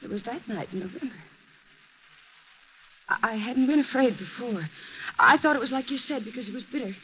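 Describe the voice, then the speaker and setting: An American actress playing a terrified, haunted young woman in a 1950s radio drama, halting and stammering breathlessly. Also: on the high side, expressive, close to an everyday voice. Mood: anxious, haunted. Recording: muffled, noisy vintage radio recording.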